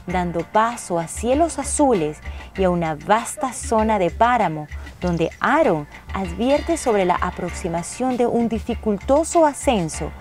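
A woman's voice: medium pitch, darkish tone. A woman reading a scripted travel narration in the role of narrator and traveller.

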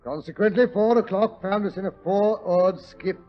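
A man's voice: sits high, normal speed, faint music behind, natural voice. An actor playing a genial, stout older British married man who grumbles and loves his comfort, huffing and stammering in 1940s radio style. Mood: genial, reminiscing. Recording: dull, muffled old radio recording.

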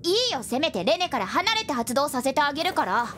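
A woman as a very high-voiced boy mage. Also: sing-song, a little fast, a little hiss or hum. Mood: pouty, cheeky. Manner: childlike, emotional delivery. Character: impulsive, cheeky young boy mage. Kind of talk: Japanese audio drama acting. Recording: loud music over voice.

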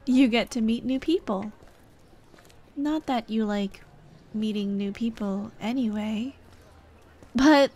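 A young woman voicing a dead girlfriend's caring, gently nagging ghost in an ASMR roleplay drama, tender and coaxing. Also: fairly high pitch. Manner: tender, coaxing, soft-hearted scolding. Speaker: roleplay voice actress.

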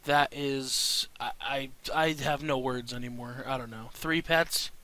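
A young man talks over his gameplay with a stuffy, congested nose, chatty and quick to get excited. His voice is bright and forceful, with a smooth, crisp tone. The sound is dry and close to the mic. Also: medium pitch, dry recording. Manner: chatty, excitable, swears casually. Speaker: gaming video host. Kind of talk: casual gameplay commentary.

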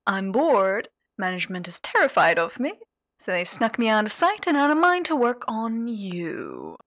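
Theatrical, high-pitched sadistic female demon. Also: wildly swooping pitch, a bit bright, dry recording. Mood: gleefully mocking. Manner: mocking, showy, drawn-out delivery with whispered asides and pet names. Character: sadistic, theatrical female radio demon playing hotel therapist. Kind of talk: ASMR character roleplay addressed to the listener.

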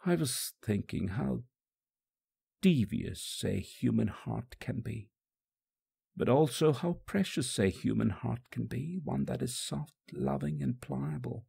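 Man reading as a sorrowful, tender holy man facing execution, very slow, husky, grave storybook monologue, reflective. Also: medium pitch, mellow, full character voice. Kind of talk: solemn first-person dramatic monologue.

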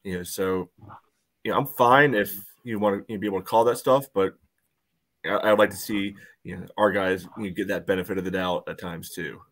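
A man's voice: low-pitched, steady tone, fairly quick, fairly bright tone. A man giving casual match analysis as a soccer podcast panelist on a video call, often padding with filler phrases.

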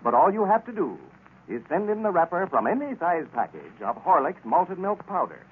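A man with an American accent reading a scripted 1930s-style radio announcement and sales pitch. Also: sing-song, normal speed, breathy edge. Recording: tinny, noisy old radio recording.

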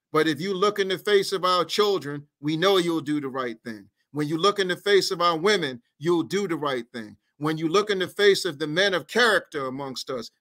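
A middle-aged man holds forth over a video call, his voice coming through a headset mic from a room at home. He sits high in his range with a bright, forceful edge. He stacks phrase on phrase in rhythmic, repetitive oratory, like a speaker working a crowd. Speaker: guest activist. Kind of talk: impassioned political speech in an interview.

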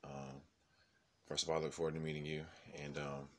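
A man's voice: extremely low pitch, flat delivery, brisk. A man in his twenties speaking casually and hesitantly in an unscripted audition message, recorded indoors on a phone held at arm's length. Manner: informal, hesitant, unscripted rambling. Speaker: singer auditioning. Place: small room indoors at home.

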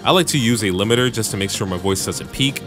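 A man with a bassy voice casually narrating a home recording tutorial, with joking asides, on a studio mic in his home room. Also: lively intonation, fast, music in the background. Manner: laid-back, joking asides. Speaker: music producer and YouTube tutorial host. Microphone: studio microphone through audio interface.

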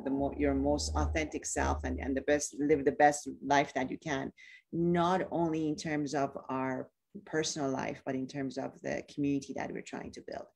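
A woman's voice: very deep voice, vocal fry, a little mellow, breathy edge. A woman hosting a webinar panel over a video call from home, searching for her phrasing. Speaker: webinar host, moderator. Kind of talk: moderating a panel. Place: home room.

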